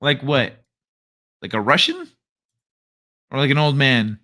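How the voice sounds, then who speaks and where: Bright, jokey male livestream host. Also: mid-range voice, wildly swooping pitch. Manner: loose and jokey, with frequent laughter and quick asides. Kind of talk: casual livestream chat with viewers.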